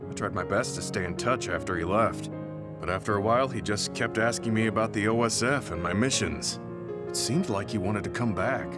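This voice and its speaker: An actor playing a reserved, old-fashioned veteran soldier in a video game, speaking in a measured, formal, serious manner. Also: male voice, lowish voice, lightly acted. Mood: somber, troubled. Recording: background music over dialogue.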